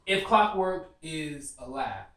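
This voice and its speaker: A man's voice: on the high side, sing-song, slow pace, pushed and bright. A man co-hosting a casual, sweary discussion, often cutting in and repeating himself to get a word in. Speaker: co-host of a TV series discussion show. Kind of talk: casual group discussion, profane slang. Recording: roomy echo, distant from the mic.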